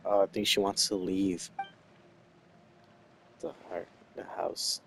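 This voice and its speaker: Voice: low-pitched, little pitch movement, slow, vocal fry, slight background noise. A game player giving casual, offhand reactions in muttered interjections. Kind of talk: casual gameplay reaction commentary.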